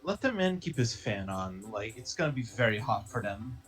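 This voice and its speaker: A man's voice: medium pitch, big pitch swings, normal speed, a bit bright, faint music behind. Joking, cursing man player. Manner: jokey and teasing, swears casually.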